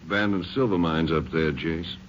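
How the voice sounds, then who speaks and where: An actor playing an alert Texas Ranger sidekick in a radio western, with clipped, folksy speech. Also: male voice, very deep voice, normal speed. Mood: matter-of-fact. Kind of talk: 1950s radio western acting. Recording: muffled, noisy old radio recording.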